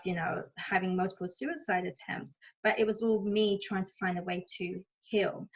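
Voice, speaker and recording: A woman's voice: fairly low pitch, low-quality recording. A woman from Thailand speaking openly and reflectively as an interview guest, in a conversational way sprinkled with "you know". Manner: open, conversational, often saying "you know". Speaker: interview guest, spiritual coach and healer. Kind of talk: candid, reflective personal interview talk.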